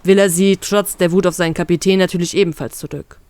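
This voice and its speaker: Woman reading her fanfic out loud, steady and plain. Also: on the low side, fast, clear, resonant tone, no room sound. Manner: steady plain read-aloud narration. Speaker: fan fiction reader. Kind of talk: reading fan fiction aloud.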